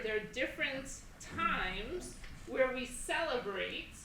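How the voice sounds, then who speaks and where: A woman moderating an assembly, explaining things deliberately and repeating phrases for emphasis, recorded in an echoey hall. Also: a little high, pushed and bright, distant mic. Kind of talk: educational talk to an assembly. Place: school assembly, speakers on a stage. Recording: echoey hall from afar, faint noise.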